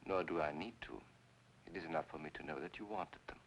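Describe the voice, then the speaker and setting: An actor playing a suave, flattering con man in 1940s film noir style, speaking in a smooth, courtly, deliberate way. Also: sits low, slight background noise, rough recording. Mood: silky, flattering.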